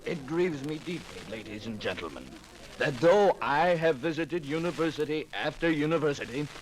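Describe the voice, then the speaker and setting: An actor playing a fiery, declamatory fundamentalist preacher orating in a 1950s radio docudrama. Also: male voice, high voice, fairly bright tone. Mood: grieved, defiant. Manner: declamatory, impassioned, rhetorical. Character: fiery fundamentalist Baptist preacher denouncing evolution to a crowd. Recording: noisy vintage 1950s radio recording.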